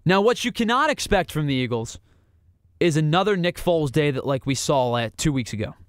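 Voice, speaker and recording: A man with a fairly high voice hosts a sports podcast, talking through his analysis alone in an emphatic, building way. He pushes his points with rhetorical questions and repetition. The sound is close and dry, with no room around it. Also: expressive, brisk, dry recording. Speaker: podcast host. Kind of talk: solo sports analysis monologue.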